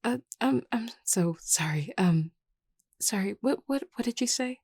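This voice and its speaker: A woman's voice: medium pitch, fairly even intonation, slow, creaky, dark tone, no room sound, studio quality. A woman voicing an anxious, self-doubting young vampire leader in a scripted audio roleplay, hesitant, stammering and apologetic. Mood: flustered, unsure.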